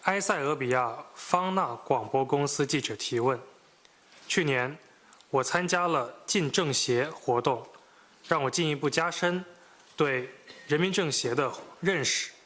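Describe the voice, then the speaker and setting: Man interpreter relaying a press question, formal, voice a bit high. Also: a little slow, a bit bright. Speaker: interpreter into Chinese.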